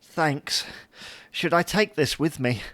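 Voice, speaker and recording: A man's voice: on the high side, animated, slow, fairly bright tone, no echo. A man playing a grumpy, whining, babyish husband in an audio comedy drama, grouchy and plaintive in manner.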